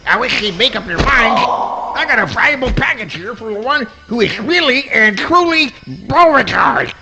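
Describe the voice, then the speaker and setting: A man's voice: very high voice, animated, normal speed, music in the background, low-quality recording. A man playing a small, mild, long-suffering possum in a comic radio play, talking in a folksy, exasperated way.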